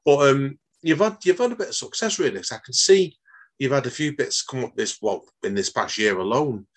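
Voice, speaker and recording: A man's voice: mid-range voice, lively intonation, a little fast. A middle-aged man hosting an interview, rambling and leaning on stock phrases as he asks questions. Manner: rambling, leans on "obviously" and "like I said". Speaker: podcast host. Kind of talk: casual interview chat.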